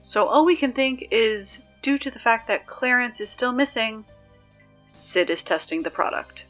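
A woman playing a sarcastic, exasperated high school announcer in a scripted audio drama, dry and emphatic in delivery. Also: lively intonation, unhurried, a bit bright, muffled recording, faint music behind. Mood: dry, matter-of-fact. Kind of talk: scripted fiction podcast, PA announcements.

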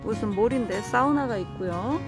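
High-voiced woman vlogger over loud music. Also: moderate pace. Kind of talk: casual travel vlog narration. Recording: loud background music over phone video. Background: music, some vehicle noise.

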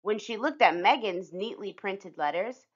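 A woman's voice: medium pitch, moderate pace, husky, highs cut off. A woman reading a children's picture book aloud as a scripted storyteller.

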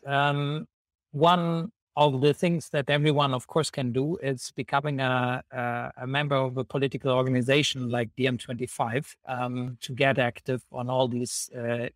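A young man hosting an online panel interview over a video call, speaking in hesitant, halting phrases. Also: medium pitch, no room sound. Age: young adult. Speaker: host, volunteer coordinator. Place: indoor room, video call. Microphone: wired earphones on video call.

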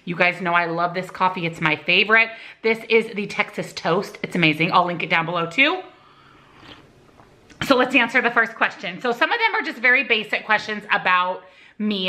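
A woman's voice: medium pitch, lively intonation, moderate pace, bright, forceful tone. A woman in her forties chatting casually at home while doing her makeup and answering viewer questions. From United States. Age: 40s. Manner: friendly and talkative while applying makeup. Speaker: YouTuber and real estate agent. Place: home kitchen indoors. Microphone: camera on a tripod close in front.

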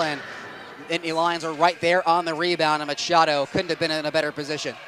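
A young man calling ice hockey play-by-play with rapid, steady narration that rises to excited shouts on goals, on a headset mic in an arena booth. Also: fairly high pitch, background noise. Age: young adult. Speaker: play-by-play announcer.

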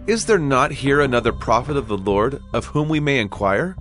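Male actor as measured pious king, audio Bible drama, middle pitch, calm, courteous, music underneath. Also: natural voice. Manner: calm, dignified.

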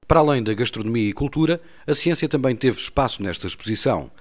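A man's voice: medium pitch, animated, slight background noise. A man reading a scripted local news report in a formal voice, with a European Portuguese accent. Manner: brisk, formal delivery.

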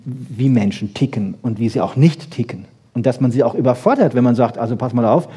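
Male academic lecturer, husky and mellow, colloquial and emphatic, talking to an audience. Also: mid-range voice. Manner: emphatic, animated, rhetorical questions and frequent tag "ja?". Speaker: lecturer in brain research.

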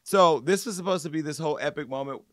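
A man's voice: on the high side, quick pace, a bit bright, dry recording, clean studio sound. A man in his forties hosting a podcast with casual comedic commentary, talking in slangy, mocking, sassy style. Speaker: podcast host. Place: podcast studio. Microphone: close studio microphone on desk.

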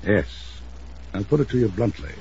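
A man playing a blunt, suspicious, wealthy retired businessman in a 1950s radio drama, speaking formally and emphatically in a husky voice. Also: lowish voice, lively intonation, moderate pace, dark tone, full character voice. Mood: suspicious, stern. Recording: muffled 1950s radio recording.

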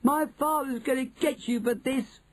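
A man's voice: extremely high pitch, steady tone, moderate pace, voice pushed up. A man voicing a spoiled, greedy rich young boy, brash, whiny and insistent, in an audiobook. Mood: outraged. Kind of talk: audiobook character voice.

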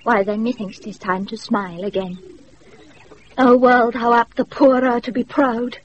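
An actress playing a proud young countess in love, in a declamatory, ardent classical Shakespearean radio style. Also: female voice, fairly high pitch, flat delivery, measured pace, lightly acted. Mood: wistful, dignified. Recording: muffled, noisy off-air radio recording.

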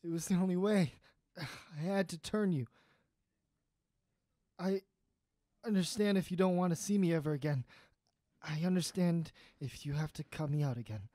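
In a dry recording, a heartbroken young adult speaks in a medium-pitched voice, earnest and halting. It is an actor's performance for an audio drama. The delivery is hesitant and lovesick. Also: mellow, no room sound, lightly acted. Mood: pleading.

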